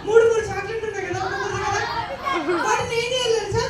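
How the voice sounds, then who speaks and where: Super high squeaky kid puppet, teasing kids, echoey noisy hall w crowd. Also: steady tone, racing, distant mic. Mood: cheerful, instructing. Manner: teasing, repeats questions to the children. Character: playful high-voiced child puppet quizzing children. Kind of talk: live puppet show for children. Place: large church hall puppet show, children around.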